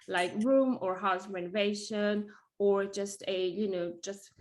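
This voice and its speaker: A woman's voice: medium pitch, fairly even intonation, measured pace, darkish tone. Casting: Italian-born woman, chatty guest on a video call, lots of ums and restarts. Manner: chatty, many fillers and restarts. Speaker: guest interior designer. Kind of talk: casual video call chat.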